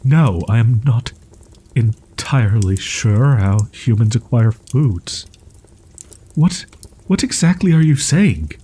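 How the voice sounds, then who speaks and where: A man playing a proud, wounded young wolf-boy in an audio roleplay, stammering in archaic, formal phrasing with a husky, breathy voice. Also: medium pitch, lively intonation, slow, warm tone. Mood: wary, hesitant. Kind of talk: roleplay audio drama, ASMR.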